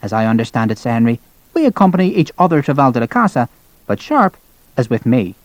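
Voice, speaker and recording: A man's voice: medium pitch, sing-song, quick pace, no room sound, close to an everyday voice. A man voicing an amiable Irish army captain with a brogue and a charming, reasonable manner, in an audiobook. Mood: soothing, charming. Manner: charming, reasonable, matter-of-fact.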